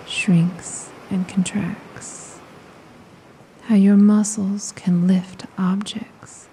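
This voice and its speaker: A woman with a very dark, mellow voice leads a slow guided meditation. She speaks softly and breathily, close to a whisper, with a gravelly creak at the edges. Her phrases are soothing and spaced by long pauses, over faint ocean waves. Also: medium pitch, a little hiss or hum, rough recording. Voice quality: soft, breathy, often near a whisper.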